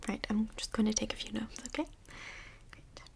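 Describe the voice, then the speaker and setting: A woman playing a polite, busy stand-in couples counselor in Hell in a soft-spoken ASMR roleplay, with a breathy, whispery voice and measured, tactful, professional phrasing. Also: a little high, level tone. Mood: calm, tactful.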